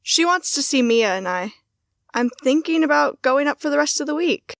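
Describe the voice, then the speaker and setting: A woman playing an affectionate young wife and mother in an audio drama, earnest and steady. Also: high-pitched, moderate pace, no room sound, studio quality. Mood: calmer, affectionate. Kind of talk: hobby audio drama acting.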